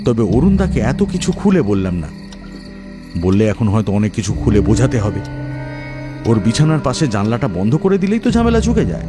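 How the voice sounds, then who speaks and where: Animated male horror-story narrator over music. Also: mid-range voice, moderate pace. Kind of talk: dramatic first-person horror story narration. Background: background music.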